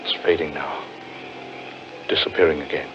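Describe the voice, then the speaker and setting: Foreboding low-voiced man, lost in haunted woods, ominous radio horror delivery, hissy vintage recording. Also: flat delivery. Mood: grim insistence. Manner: ominous, theatrical, deliberate. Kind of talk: 1940s radio horror acting. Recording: muffled, noisy 1940s radio recording.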